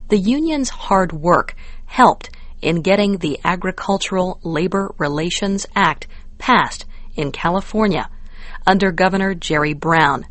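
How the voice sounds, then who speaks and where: An American woman reading scripted educational narration for English learners, measured and carefully enunciated. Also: lowish voice, no room sound.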